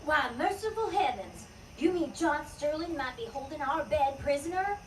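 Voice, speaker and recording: Woman as high-voiced, fussy frontier lady. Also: animated, normal speed. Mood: alarmed. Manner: prim, exclamatory. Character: indignant, fussy frontier townswoman. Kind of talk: Western radio drama acting. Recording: distant stage microphone, faint noise.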